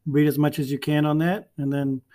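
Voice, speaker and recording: A man who is a librarian hosting a school podcast, friendly and asking questions with many pauses and fillers. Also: fairly high pitch, flat delivery, dark tone.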